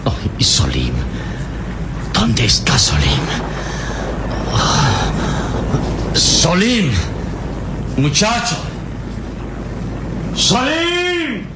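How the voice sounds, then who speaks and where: An actor playing a noble, wounded adventurer in a radio serial, anguished, breathless and halting. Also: male voice, high voice, huge pitch swings, full character voice. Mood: desperate calling. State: weak, breathless, in pain. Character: wounded heroic adventurer, noble, anxious, determined. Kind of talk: old Latin American radio serial acting. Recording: noisy radio drama with storm effects.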